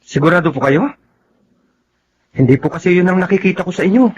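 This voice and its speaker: A man's voice: sits high, a little fast, a little mellow, breathy edge, lo-fi sound. A man acting in a Filipino radio drama as a respectful young adult son, earnest and caring. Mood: concerned.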